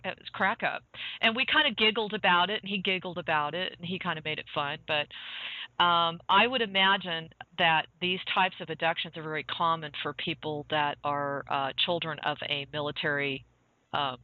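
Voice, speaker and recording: A man's voice: a little high, fairly even intonation, a little fast, dry recording. A man from the southern United States chatting casually as an interviewer, with interjections and a conversational manner. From southern United States (Georgia, North Carolina). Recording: tinny phone-like call audio.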